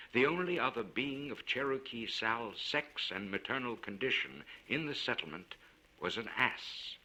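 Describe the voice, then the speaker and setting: Guy reading an old story out loud, formal and measured, really animated pitch, sharp bright tone. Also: rough recording. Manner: measured, formal, expressive delivery. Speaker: audiobook narrator. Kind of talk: dramatic literary story reading.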